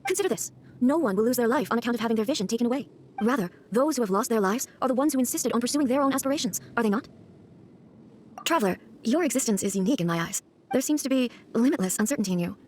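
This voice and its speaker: A woman's voice: a little high, animated, darkish tone, slight background noise, natural voice. A woman voicing a cold, solemn female deity in a video game cutscene, formal and commanding. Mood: cold, imperious. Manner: formal, commanding, measured phrasing.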